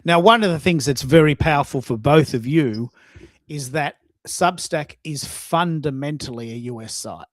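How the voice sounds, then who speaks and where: A man's voice: a little high, normal speed, no room sound. A man hosting a live coaching talk, repeating words for emphasis. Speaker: host and social media coach. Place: dry room, online live stream.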